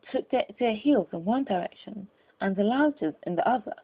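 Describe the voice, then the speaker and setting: Casting: female audiobook narrator, medium pitch, husky tone, measured formal delivery of classic fiction, narrow telephone-like recording. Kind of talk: scripted literary narration.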